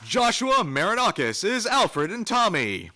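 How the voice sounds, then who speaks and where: A man's voice: high-pitched, big pitch swings, unhurried, sharp tone, a faint crowd, slight background noise. A man reading scripted credits as a radio announcer, recorded live in a theater. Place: theater stage, live performance.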